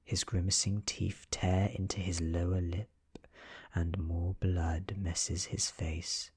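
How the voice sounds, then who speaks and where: A man with a British accent giving a soft-spoken, near-whispered ASMR reading of a horror story, close to the microphone. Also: sits low, little pitch movement, slow pace, slightly rough. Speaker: narrator.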